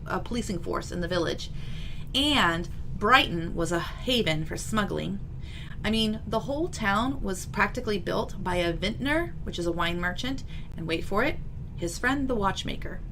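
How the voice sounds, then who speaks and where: A middle-aged woman talking to camera as an author introducing her book, chatty and wry with knowing asides, in a home office. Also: medium pitch. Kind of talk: semi-scripted video introduction to camera. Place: small home office with bookshelves, indoors. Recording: clearly noisy room recording.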